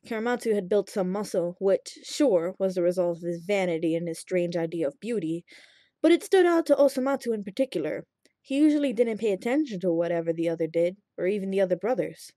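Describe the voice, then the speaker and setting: Mellow-voiced woman narrating a story. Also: mid-range voice, animated, brisk, dry recording, studio quality. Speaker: fan fiction reader. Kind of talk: expressive scripted narration of a story.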